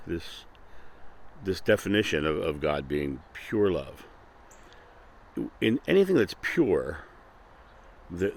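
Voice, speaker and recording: A man's voice: sits low, a little hiss or hum. A man hosting his own channel, talking casually and earnestly about a spiritual idea, often restarting and repeating words. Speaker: channel host. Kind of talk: casual spiritual talk to camera. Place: Akron, Ohio.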